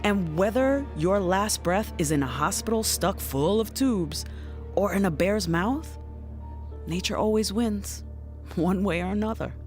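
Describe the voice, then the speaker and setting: A woman's voice: mid-range voice, expressive, a little slow, soft background music, natural voice. An actress playing a blunt, wry, brooding woman who swears and muses in naturalistic audio drama dialogue. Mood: grim, defiant.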